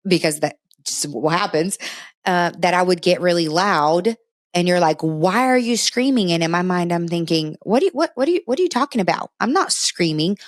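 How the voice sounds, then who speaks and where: A woman's voice: on the low side, no echo, clean studio sound. A middle-aged woman from Brazil, a native Portuguese speaker, co-hosting a family talk show in an earnest, emphatic, conversational way. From Brazil, moved to the US at eleven.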